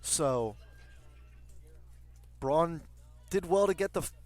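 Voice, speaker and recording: A man's voice: a little high, animated, slow pace, darkish tone, soft background music. A man giving conversational live analysis as color commentator on a baseball radio broadcast.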